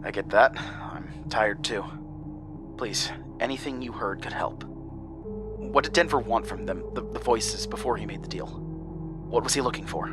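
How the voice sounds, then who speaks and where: A man's voice: lowish voice, measured pace, music in the background, lightly acted. A man acting in a horror audio drama as a weary, anxious character who coaxes answers and narrates his ordeal. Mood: soothing, tired. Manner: hesitant, stammering in dialogue.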